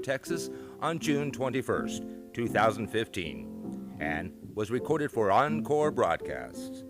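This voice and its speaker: Lively male radio announcer over guitar. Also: mid-range voice. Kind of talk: scripted radio show sign-off, credits announcement. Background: guitar music.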